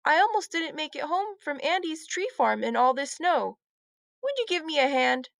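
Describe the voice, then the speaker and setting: A woman's voice: high voice, big pitch swings, normal speed, gravelly, a bit bright, dry recording, studio quality, pitched above the performer's own voice, exaggerated voice. A woman voicing a friendly, casual dad in a children's storybook. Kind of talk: storybook read-aloud character voice.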